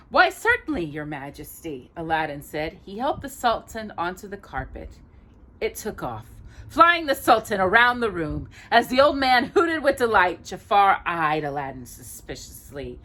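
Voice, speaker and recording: A woman reading a children's story aloud expressively, pausing to put questions to a young listener. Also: mid-range voice, big pitch swings, fairly bright tone. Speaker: storyteller and host.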